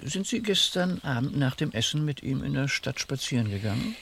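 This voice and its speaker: An actor playing a courteous, probing police inspector in a radio crime drama, measured, discreet and quietly insistent. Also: male voice, medium pitch, big pitch swings, gravelly, a little hiss or hum. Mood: confidential.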